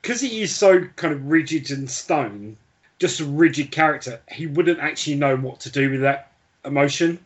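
A man's voice: a little high, animated, normal speed. A man co-hosting a podcast, chatting casually and informally about a book. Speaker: podcast co-host. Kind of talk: casual book discussion chat.

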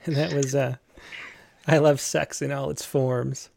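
A man hosting an interview, asking questions in a halting way with many ums. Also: mid-range voice, level tone, vocal fry, a little mellow, dry recording. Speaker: interview host. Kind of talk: casual interview.

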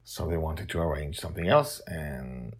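Deep, husky-voiced man hosting a podcast. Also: moderate pace. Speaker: podcast host and author. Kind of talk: casual spoken introduction, then story narration.

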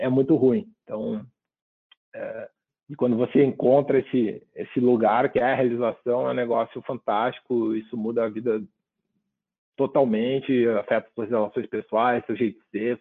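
Man, thoughtful guest answers, mid pitch, phone-like narrow sound. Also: gravelly, slightly rough. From southern Brazil. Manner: thoughtful, often ends with tag questions. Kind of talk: reflective interview answers.

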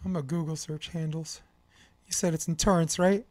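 A man's voice: on the high side, steady tone, normal speed, a little mellow, dry recording. Slangy American man in his fifties, joking. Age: 50s. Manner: slangy, joking, given to rambling advice. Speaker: podcast host. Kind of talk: casual chat.

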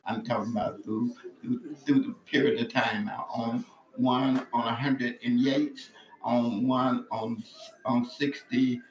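A man in his late seventies recalling his past in a casual family interview, halting and searching for details. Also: medium pitch, fairly even intonation. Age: 70s. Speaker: interviewed relative. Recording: roomy, faint background noise.

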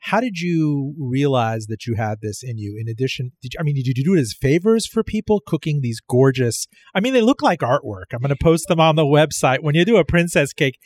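A man's voice: on the high side, expressive, brisk, hardly any pauses, darkish tone, no echo. A man hosting an interview, asking curious and enthusiastic questions. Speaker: interview host.

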